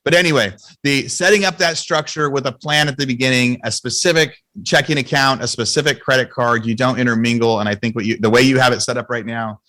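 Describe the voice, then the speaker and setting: A man's voice: mid-range voice, fast talker, few pauses, bright, forceful tone. A man hosting a podcast, talking in an informal, chatty, conversational way. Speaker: podcast host. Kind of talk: casual chat.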